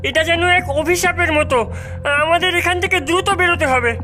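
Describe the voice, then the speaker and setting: A woman's voice: extremely high pitch, brisk, bright, forceful tone, soft background music. A woman voicing a plucky, earnest young boy in a children's cartoon, determined and loyal to his friends. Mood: earnest, concerned. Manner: earnest, hesitant hums before speaking.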